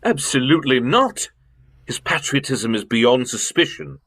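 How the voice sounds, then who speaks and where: Casting: male actor as imperious senior government man, pompous and emphatic, mid-pitched, period radio mystery. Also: animated, a little slow, a bit bright. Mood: brisk, assured. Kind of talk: radio drama acting.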